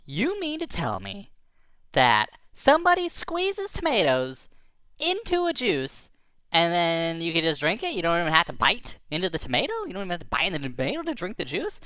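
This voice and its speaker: A woman voicing a small, hungry, polite vampire moth in a children's story, repeating phrases eagerly and haltingly. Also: lowish voice, very animated, bright, forceful tone, no room sound, clean studio sound, voice pushed up. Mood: excited, amazed.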